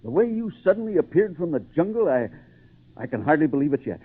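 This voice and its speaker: A dignified sea captain talks with halting theatrical wonder and wide swings of pitch. The sound is a narrow, tinny old radio broadcast. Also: male voice, sing-song, breathy edge. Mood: amazed, grateful. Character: grateful, dignified sailing-ship captain, full of wonder. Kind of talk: 1940s radio drama acting.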